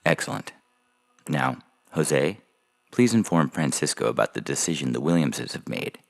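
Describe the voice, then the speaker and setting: A man playing a slick, callous adoption agency salesman with gravelly smooth patter in an audio story. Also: extremely low pitch, expressive, normal speed, exaggerated voice. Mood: breezy, reassuring. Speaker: voice actor reading a fiction podcast story. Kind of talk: dramatized short story reading. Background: music under the voice.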